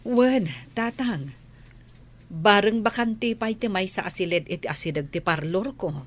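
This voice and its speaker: An actress with an Ilocano accent playing an agreeable, practical grown daughter in a radio drama. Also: female voice, medium pitch, unhurried, natural voice.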